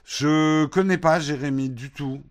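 Male streamer, slightly higher voice, unhurried and chatty, lots of hesitations while he answers chat. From France. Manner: relaxed, hesitant with fillers and restarts. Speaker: host of a morning live show answering chat. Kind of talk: casual live-stream chat reading viewer questions.

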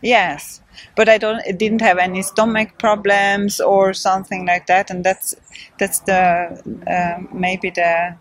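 A woman's voice: mid-range voice, flat delivery, slow. A young woman with a Swedish accent, talking casually with many hesitations and light laughs in an interview. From Sweden. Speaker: interviewed trail runner. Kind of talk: casual post-race interview.

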